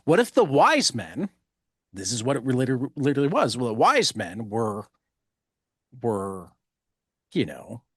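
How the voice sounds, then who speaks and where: Male podcast host, mid-pitched, rambling and jokey, dry close sound. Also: wildly swooping pitch, measured pace, long pauses, breathy edge, dry recording. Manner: rambling and jokey, laughs often. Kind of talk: casual podcast conversation.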